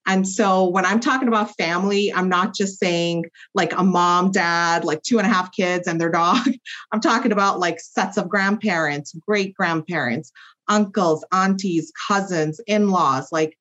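A woman's voice: fairly low pitch, measured pace, a bit bright. A woman clinical psychologist explaining as a podcast guest, with many fillers and tag questions. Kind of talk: podcast interview. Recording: muffled lo-fi audio.

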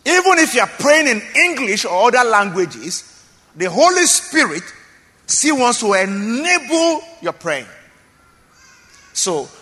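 A high, lively man preaches to his congregation with a bright, forceful voice. He repeats himself for emphasis and keeps checking that his listeners follow. His voice rings with the long reverb of a church hall. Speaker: pastor. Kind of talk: sermon.

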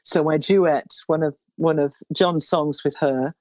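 A woman in her sixties with an unusually low, baritone voice, talking in a loose, meandering way as she recalls her career. The sound is dry and close, with no room around it. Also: steady tone, measured pace, no echo. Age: 60s. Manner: rambling, warm storytelling with restarts. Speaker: singer. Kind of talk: relaxed interview chat.

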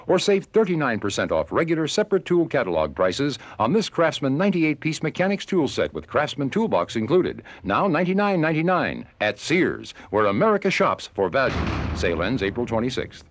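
A man's voice: medium pitch, sing-song, fairly quick, few pauses. A man reading a scripted retail commercial as a radio announcer. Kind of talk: scripted retail advertisement read.

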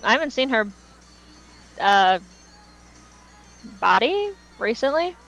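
A woman's voice: a little high, sing-song, slow pace, fairly bright tone, highs cut off, soft background music. A woman co-hosting a fan podcast, chatting casually in a slangy, emphatic, opinionated way. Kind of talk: casual chat.

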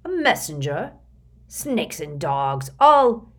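A woman reading the part of a cold, quick-tempered male headmaster in an audiobook, with cool, clipped questions. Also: a little high, big pitch swings, slightly rough, voice pushed up, full character voice. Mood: outraged.

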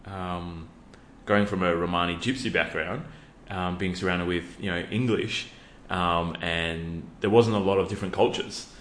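A laid-back Australian man with a very low voice, chatting as a podcast guest with many ums and pauses. His speech echoes around the room, with a faint hiss underneath. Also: fairly even intonation, fairly bright tone. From Western Australia. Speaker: podcast guest, youth worker. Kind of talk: casual interview talk.

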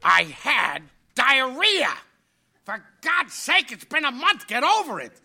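A man's voice: very high voice, huge pitch swings, slow, pushed and bright, full character voice. A man playing a grumpy, touchy bulldog-pug mix in comic audio theater, blustering and argumentative. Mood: defensive outrage.

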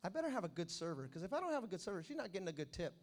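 A man preaching a sermon as pastor, animated, addressing the congregation with call-and-response asides. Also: high-pitched, rapid-fire.

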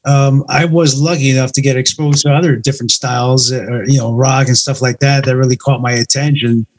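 A man's voice: mid-range voice, steady tone, fairly quick. A man speaking as a band member in an interview, chatting casually and informally with some swearing. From United States. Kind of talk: casual chat.

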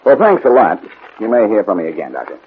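Quick-witted detective man, wry, animated intonation, snappy 1940s radio drama style, muffled old recording. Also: fairly low pitch, background noise. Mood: brisk, assured. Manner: brisk, wry, snappy delivery.

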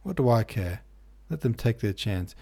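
A man's voice: lowish voice, lively intonation, moderate pace, dark tone, heavily acted. A man reading the lines of a coarse, defensive young woman who snaps back defiantly, in a storybook reading. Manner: rough, defiant retorts.

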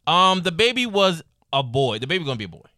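A young man drawling and teasing through casual banter, cursing often. His voice is bright and edgy and swings widely in pitch, recorded close and dry. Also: big pitch swings, fast, dry recording. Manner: drawling, teasing, swears freely.

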